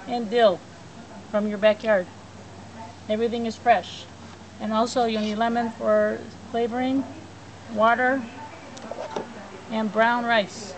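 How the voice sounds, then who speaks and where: A woman's voice: fairly high pitch, low-quality recording. A woman giving plain step-by-step cooking instructions in a backyard. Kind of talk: cooking demonstration. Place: backyard outdoors. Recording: outdoor background noise.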